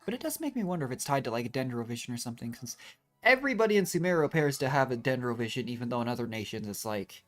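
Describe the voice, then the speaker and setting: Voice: medium pitch, animated, racing, a bit bright, soft background music. A game streamer giving casual live commentary in a rambling, musing way, drifting into tangents.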